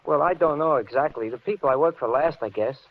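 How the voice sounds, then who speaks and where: A man playing a menacing, unhinged handyman in an old-time radio thriller, speaking with slow, deliberate, softly threatening calm. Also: on the high side, close to an everyday voice. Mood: brooding threat. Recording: muffled, hissy vintage radio recording.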